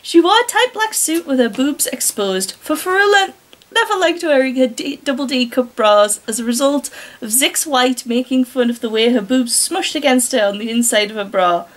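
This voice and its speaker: Woman, high voice, casual storyteller, wry mocking asides, chatty commentary. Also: lively intonation, moderate pace. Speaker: host reading amateur fiction aloud.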